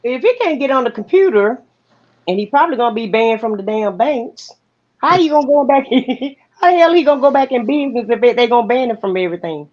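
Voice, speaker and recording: A woman's voice: fairly high pitch, animated, fairly quick, a little mellow. A middle-aged American woman talking bluntly and saltily in a casual panel, over a dull online connection.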